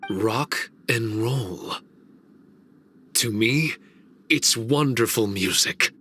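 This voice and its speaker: A man's voice: medium pitch, very slow, sharp tone, breathy edge, full character voice. A man playing an earnest, dutiful guardian spirit in a video game, speaking formally and courteously. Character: earnest, dutiful male guardian spirit of a mystic training domain. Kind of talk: video game cutscene voice acting.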